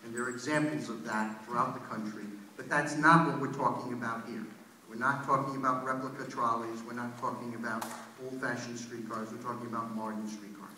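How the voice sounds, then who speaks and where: A man's voice: mid-range voice, little pitch movement, a little fast, bright, forceful tone. A man, an official on a panel, explaining things at a public meeting. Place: large indoor public meeting room. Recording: echoey hall PA, distant mic, faint noise.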